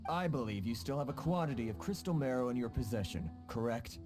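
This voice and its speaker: An actor playing a shrewd, diplomatic merchant in a video game, measured and polite. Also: male voice, mid-range voice, animated, over music, close to an everyday voice. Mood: calm, pointed. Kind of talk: English video game dub acting.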